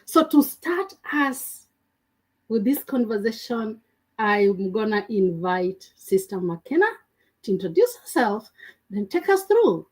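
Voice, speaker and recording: A woman host speaks slowly, with a breathy edge to her voice. Her pitch moves widely as she opens a faith talk and invites her guest in. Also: sing-song, darkish tone, poor audio.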